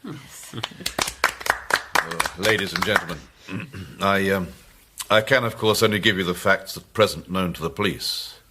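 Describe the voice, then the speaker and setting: An actor playing a stolid English chief inspector in a radio play, speaking in a plain, deliberate, official manner. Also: male voice, low-pitched, bright, forceful tone, slight background noise. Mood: measured, official. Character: stolid, measured male Scotland Yard chief inspector. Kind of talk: British radio play acting.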